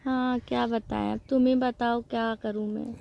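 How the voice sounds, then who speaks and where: Woman acting a high-voiced, coy sister-in-law. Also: little pitch movement, normal speed, creaky, highs cut off. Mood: downcast. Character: unhappy, coy, flirtatious married sister-in-law. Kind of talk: staged phone-call role-play, casual chat.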